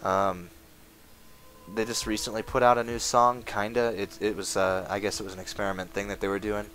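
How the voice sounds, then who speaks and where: A man talking casually over his gameplay footage, rambling with lots of fillers, his voice going hoarse. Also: lowish voice, level tone, brisk, a bit bright. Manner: rambling, full of uh fillers, chatty. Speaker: gaming video maker. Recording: game music playing underneath. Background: game music.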